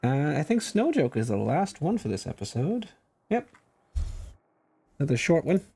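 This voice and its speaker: A man's voice: on the high side, very animated, moderate pace, creaky, dark tone, slightly rough. A man giving casual playthrough commentary as the host of a gaming channel.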